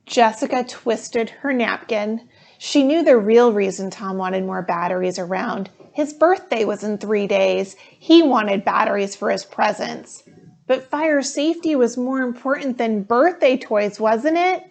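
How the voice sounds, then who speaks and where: A woman's voice: on the high side, expressive, unhurried, gravelly, darkish tone. A woman reading a children's picture book aloud as a storyteller, acting out the dialogue expressively. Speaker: storytime reader, firefighter.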